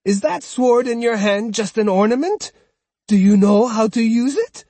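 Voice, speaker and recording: Male narrator doing a vicious old crone, high, cackling and taunting, dry husky sound. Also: sing-song, takes it slowly, darkish tone, no echo, heavily acted. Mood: jeering. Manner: taunting, scolding. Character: spiteful shrill old woman. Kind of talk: audiobook character voice.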